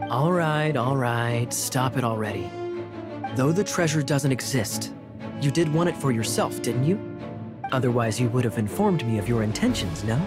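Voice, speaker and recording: An actor playing a suave young knight captain in a video game, speaking in a smooth, sly, politely theatrical way. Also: male voice, mid-range voice, expressive, moderate pace, lightly acted. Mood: calm, sly. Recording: music behind the voice.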